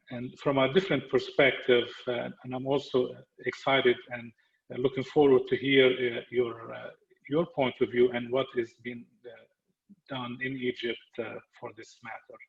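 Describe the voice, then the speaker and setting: Man, Lebanese accent, medical webinar lecturer, mid-pitched voice, halting short phrases, roomy muffled audio. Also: flat delivery, gravelly. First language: Arabic. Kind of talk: webinar presentation.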